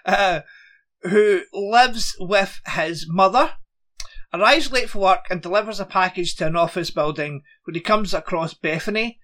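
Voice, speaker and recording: Brief: podcast co-host, 40s, male, rambly and dry, higher voice with bright tone. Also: a little slow. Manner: rambling, dry, laughs often. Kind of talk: casual chat.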